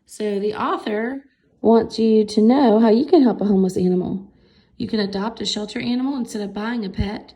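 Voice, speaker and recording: A woman's voice: mid-range voice, moderate pace, dark tone, poor audio. A woman reading a picture book aloud to children, expressively explaining the pictures as she goes. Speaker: story reader for children. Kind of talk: picture book read-aloud with simple commentary.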